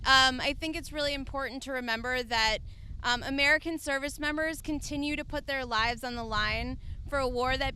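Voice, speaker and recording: A woman gives closing remarks at a press conference in a high, bright, clear voice. She works loosely from notes and is a little halting as she searches for her phrasing. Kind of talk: semi-prepared public remarks.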